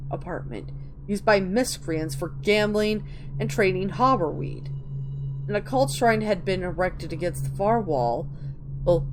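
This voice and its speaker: A woman's voice: mid-range voice, noisy background. A woman hosting a gaming video, reading in-game texts aloud and commenting casually as she plays.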